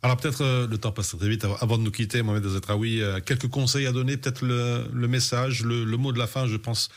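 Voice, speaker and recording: A man hosting an interview, asking measured questions. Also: mid-range voice, steady tone.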